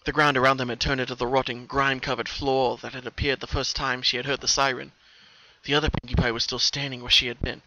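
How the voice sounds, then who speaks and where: A man's voice: medium pitch, level tone, quick pace, sharp tone, dry recording, dull audio. A man reading scripted story narration in a dramatic style. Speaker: narrator of fan fiction.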